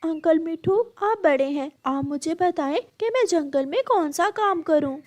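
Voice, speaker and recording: A woman's voice: extremely high pitch, measured pace, darkish tone, pitched above the performer's own voice. A woman voicing a hardworking, earnest young male bird in a cartoon story, speaking with resolve. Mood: earnest, hopeful. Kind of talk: cartoon story character dialogue.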